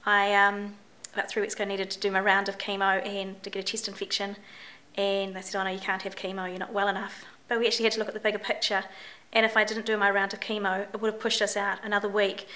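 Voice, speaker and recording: A middle-aged woman from New Zealand with a New Zealand accent, talking candidly in a personal video diary. Her voice is a bit croaky, and she rambles with fillers and restarts. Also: medium pitch, flat delivery, fast talker, pushed and bright. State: croaky voice from a chest infection. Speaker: vlogger.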